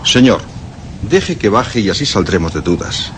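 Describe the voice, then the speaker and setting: A man's voice: fairly low pitch, very animated, normal speed. A man with a Castilian accent playing a loyal, anxious manservant in a horror radio play, respectful and pleading. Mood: anxious, deferential. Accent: Castilian Spanish. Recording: noisy ambience behind the voice.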